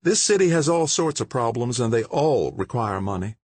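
A man voicing a sharp, dismissive woman mayor who snaps curtly. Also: medium pitch, sing-song, moderate pace, slightly rough, no room sound, voice pushed up. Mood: cold, dismissive. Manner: snappish, curt.

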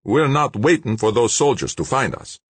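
A narrator puts on a menacing male gunman from a thriller audiobook. He is bossy and agitated, barking in a raised, husky voice with big swings of pitch. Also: sing-song, normal speed, dry recording. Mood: urgent.

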